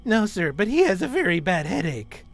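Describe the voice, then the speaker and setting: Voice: mid-range voice, sing-song, normal speed, gravelly. An actor playing a chirpy, guileless junior ensign in a parody radio play. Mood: eager.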